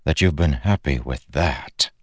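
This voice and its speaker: A man voicing a guarded, weary young soldier who speaks low, earnest and clipped. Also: unhurried, dry recording, clean studio sound. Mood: tense.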